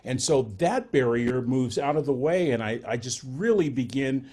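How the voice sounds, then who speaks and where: A man's voice: mid-range voice, normal speed, darkish tone. A man in his sixties, a journalist on a panel, folksy and self-deprecating. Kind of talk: panel discussion.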